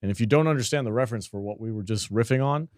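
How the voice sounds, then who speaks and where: A man's voice: medium pitch, rapid-fire, darkish tone, no room sound. A man hosting a casual gaming podcast, talking loosely and energetically with plenty of swearing. His voice sits in a middle range and sounds dry and close to the mic. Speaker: podcast host.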